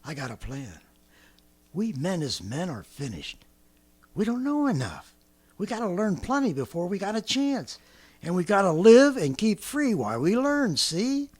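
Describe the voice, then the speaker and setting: A man's voice: high voice, sing-song, unhurried, a little mellow, full character voice. An actor in 1930s radio drama style playing a fanatical survivalist artilleryman with an American accent, ranting colloquially and swinging from brooding to frenzied. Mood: scornful, scheming. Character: fanatical survivalist artilleryman, swept up in grandiose schemes.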